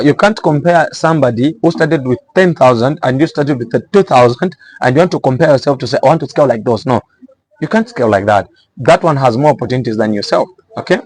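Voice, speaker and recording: A man from Zambia with a Zambian accent and a mid-pitched voice talks informally to his viewers. He gives practical business advice as an explainer, often repeating a phrase to drive it home. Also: darkish tone, rough recording. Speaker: vlogger giving small business advice.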